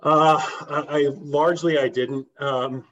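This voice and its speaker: A man chatting casually as a podcast guest, informal and quick to laugh, on a remote call through a microphone. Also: fairly high pitch, steady tone, a bit bright. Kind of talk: casual chat. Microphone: USB microphone on remote call. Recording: slightly dull remote call audio.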